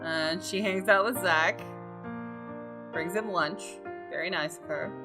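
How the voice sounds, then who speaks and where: Low-pitched young woman gamer over music. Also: unhurried. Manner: informal, chatty asides to viewers. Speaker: gaming channel host. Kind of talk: casual let's play commentary with some read-aloud visual novel narration. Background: game music.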